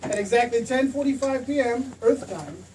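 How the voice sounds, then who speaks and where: Distant, calm chief angel played by a woman. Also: a little high, moderate pace, lightly acted. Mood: calm, grave. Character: calm, authoritative superintendent of angels. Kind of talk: 1940s radio acting. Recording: distant stage mic, faint noise.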